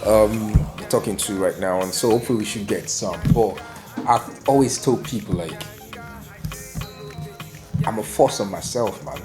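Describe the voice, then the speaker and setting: A man from Nigeria, a musician guest chatting in an interview, animated and boastful, full of slang and shout-outs. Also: fairly low pitch, normal speed, pauses often, over music. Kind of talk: casual interview chat.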